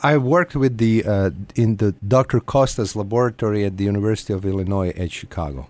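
Male interview guest, Croatian accent, expert register, hesitant phrasing, lower pitch, dry close sound. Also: a little mellow, no room sound. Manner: halting, hesitant delivery with frequent pauses. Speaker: guest psychiatrist and researcher. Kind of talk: radio interview answers.

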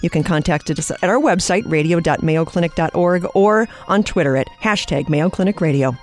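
A woman's voice: on the low side, fairly quick, a little mellow, faint music behind. A woman co-hosting a radio show in a studio, enthusiastic and reading announcements.

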